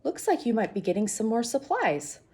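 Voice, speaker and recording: A woman's voice: on the high side, very animated, a little fast, background noise. A woman voicing a blunt, wry, opinionated male dog who likes to complain, in a storybook reading. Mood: encouraging.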